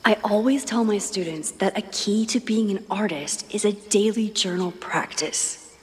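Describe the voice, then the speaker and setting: A woman's voice: medium pitch, unhurried, close to an everyday voice. Casting: female actor for young artist in a narrative game, wry and reflective inner monologue, reverberant sound. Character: wry, gentle young woman, artist and teacher.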